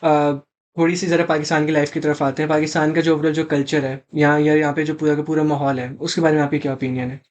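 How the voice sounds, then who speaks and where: A man's voice: on the high side, level tone, fast. A young man with a Pakistani accent hosting a casual interview, asking inquisitive questions and mixing in English. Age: young adult. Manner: code-switches into English, inquisitive. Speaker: interview host.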